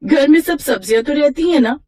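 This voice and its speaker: A woman voicing a practical, indulgent young elder sister who gently chides in a children's moral story. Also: high-pitched, expressive, moderate pace, pitched above the performer's own voice. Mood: confident.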